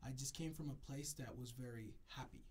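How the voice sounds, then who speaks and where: A man's voice: medium pitch, steady tone, brisk, a little hiss or hum. A man from Jamaica, a DJ, talking in a casual interview and repeating himself to stress his points. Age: adult, moved to America as a child. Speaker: DJ, interview guest. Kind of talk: reflective casual interview talk.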